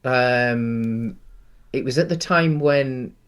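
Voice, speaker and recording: A man with a middle-pitched voice co-hosts a film podcast. He talks casually and hesitantly, feeling his way through each point in a halting delivery. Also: fairly bright tone, clear, resonant tone. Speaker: podcast co-host.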